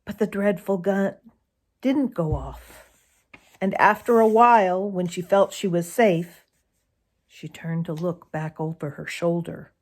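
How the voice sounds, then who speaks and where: A woman narrating a children's story in a deliberate, dramatic way, as if to young listeners. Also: fairly low pitch. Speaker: storybook reader.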